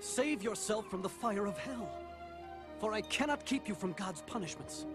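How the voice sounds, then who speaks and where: An actor playing an earnest believer who solemnly narrates a religious animated film, with film music behind him. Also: male voice, sits high, moderate pace. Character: earnest male follower of a prophet, storytelling narrator.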